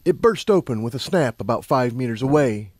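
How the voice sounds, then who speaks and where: Animated man narrating fiction, dry recording. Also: medium pitch, brisk, no echo. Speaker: story narrator. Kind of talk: expressive scripted fiction narration.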